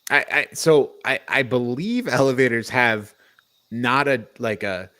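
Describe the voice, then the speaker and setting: Man with mid-pitched voice, casually opinionated. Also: lively intonation, a little slow, sharp tone. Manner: informal, opinionated, questioning. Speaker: podcast co-host. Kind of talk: casual movie discussion.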